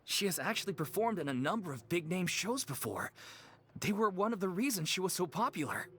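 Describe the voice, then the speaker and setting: A man's voice: sits high, sing-song, fairly quick, fairly bright tone, slight background noise. A man voicing an earnest, weary director of a small amateur theater troupe in a video game. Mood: surprised.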